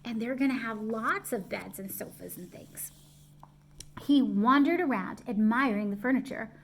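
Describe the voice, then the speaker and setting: A middle-aged woman reading a picture book aloud to young children at home, expressive, pausing to explain and ask questions. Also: a little high, vocal fry. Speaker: storytime reader. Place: small room at home, indoors.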